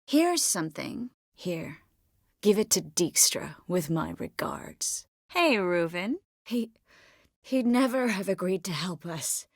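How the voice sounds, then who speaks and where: A woman voicing a witty, caring, self-assured young sorceress in a video game, often dropping to soft, intimate murmurs. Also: fairly low pitch, very animated, slow pace, no echo, studio quality, full character voice. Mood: conversational, composed. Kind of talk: scripted game character dialogue. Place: close studio recording.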